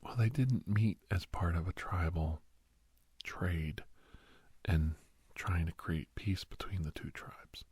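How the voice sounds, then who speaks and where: A man playing a kindly doctor in an ASMR roleplay, speaking in a hushed, breathy whisper with pauses. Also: low-pitched, no echo, exaggerated voice. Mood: reassuring, confiding. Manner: hushed, unhurried, with pauses and soft chuckles. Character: kindly doctor advising a young werewolf, old family friend.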